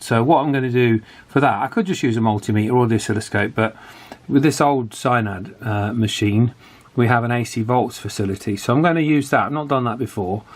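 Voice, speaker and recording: Male repair vlogger, mid-range voice. Casual running commentary, halting and thinking aloud while working. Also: mid-range voice. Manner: thinking aloud, hesitant pauses mid-phrase.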